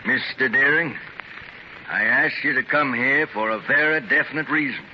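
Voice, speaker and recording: A man's voice: medium pitch, moderate pace, natural voice. A man playing a stubborn seventy-year-old tycoon. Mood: guarded. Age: 70s. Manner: earnest, weary yet defiant. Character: aging determined male industrialist, stubborn fighter. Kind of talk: 1930s radio drama acting. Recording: dull hissy 1930s transcription disc.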